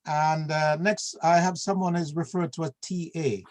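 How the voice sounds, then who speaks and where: A man's voice: on the high side, fairly even intonation, normal speed. Easygoing man hosting an online meeting. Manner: easygoing, calls on each person by name. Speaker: meeting host. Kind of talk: online meeting roll call. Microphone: video call.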